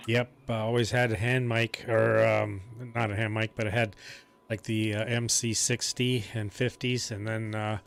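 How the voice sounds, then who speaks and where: A man's voice: lowish voice, little pitch movement, normal speed, gravelly, a little hiss or hum. A man who runs a home ham radio station, chatting casually and explaining things in long, loose sentences. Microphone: desk mic on a foot switch.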